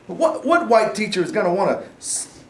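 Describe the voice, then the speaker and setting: Man playing excitable high-voiced alarmist official. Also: moderate pace, slight background noise. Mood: alarmed. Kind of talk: staged play reading. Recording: roomy, echoey live stage recording.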